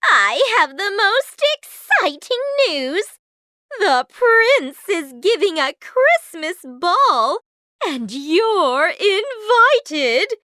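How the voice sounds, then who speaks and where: A woman's voice: sits high, wildly swooping pitch, slow, a bit bright, dry recording, clean studio sound, pitched above the performer's own voice, full character voice. A woman voicing a haughty, bossy, cruel stepmother in a storybook, with an imperious, exclamatory manner. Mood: excited, gushing.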